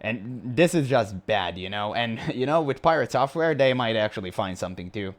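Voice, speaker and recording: Man with a high voice, blunt and mocking. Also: lively intonation, normal speed. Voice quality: high-pitched voice, by his own account. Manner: blunt and mocking, laughs often. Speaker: reaction video host. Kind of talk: casual reaction commentary.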